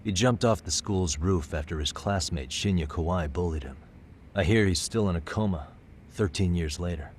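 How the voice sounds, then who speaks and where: Low-voiced, composed male detective, played by an actor. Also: slight background noise, lightly acted. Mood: probing, measured. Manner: calm, measured, politely probing questioning. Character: composed, persistent male private detective and lawyer, adult. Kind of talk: English-dubbed video game cutscene acting.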